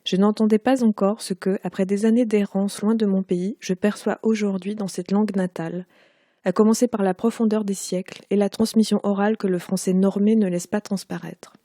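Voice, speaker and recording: A woman in her forties, raised in Quebec but speaking with a Parisian French accent, reads her own literary prose aloud. Her voice is mellow and warm, at a middle pitch for a woman. The delivery is measured and unhurried, recorded dry with no room echo. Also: fairly even intonation. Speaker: author.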